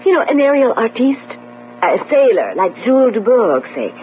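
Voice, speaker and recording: A woman's voice: fairly high pitch, sing-song, moderate pace. An actress playing a shrewd, affectionate young engaged woman in an emphatic, theatrical old radio mystery style. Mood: thoughtful, clever. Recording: tinny old radio broadcast, hum.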